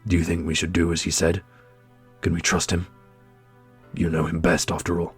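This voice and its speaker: A man playing a solemn, loyal prince and protective brother in a fantasy audio roleplay, speaking earnestly and deliberately. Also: low-pitched, monotone, husky. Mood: steady, questioning. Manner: earnest, deliberate, grandly heroic. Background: orchestral music.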